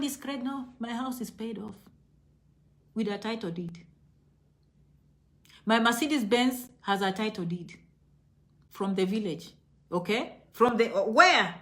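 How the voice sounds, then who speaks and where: A woman's voice: high-pitched, pushed and bright. A woman with a Kenyan accent talking casually to her online viewers in an emphatic, motivational way, repeating phrases for stress. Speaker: online video host.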